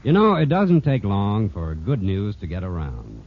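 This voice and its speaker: A man's voice: lowish voice, huge pitch swings, unhurried, loud music behind the voice. A man announcing a scripted 1940s radio advertisement in an emphatic, polished selling manner. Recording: muffled, noisy old radio recording.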